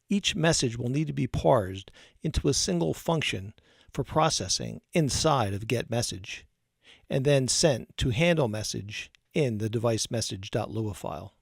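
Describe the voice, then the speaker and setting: A man narrating a scripted technical tutorial as an instructor, explaining in a measured, methodical way. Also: medium pitch, gravelly, husky, dry recording, studio quality.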